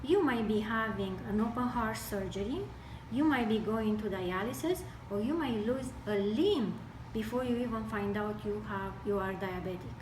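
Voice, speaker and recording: A woman from Romania with a Romanian accent gives an explanatory health talk, speaking deliberately in broken phrases. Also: mid-range voice. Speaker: health speaker with medical training. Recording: distant mic, echoey room, noisy background.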